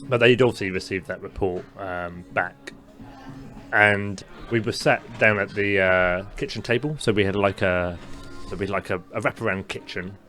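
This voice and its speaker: A young man with a mild stutter who co-hosts a podcast, telling a personal story in casual chat with many fillers and halting restarts. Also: lowish voice, bright, forceful tone, soft background music. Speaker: podcast co-host.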